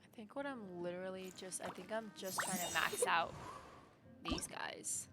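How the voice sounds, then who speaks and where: A woman game streamer giving casual live commentary, excitable and sweary, talking back to the game. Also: on the low side, fairly quick. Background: game music.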